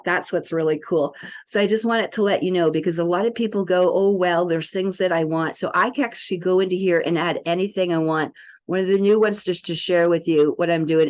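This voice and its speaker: A woman's voice: lowish voice, darkish tone. A woman giving an informal, rambling product demo as an alternative health promoter, chatting directly to the viewers. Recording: dull lo-fi audio.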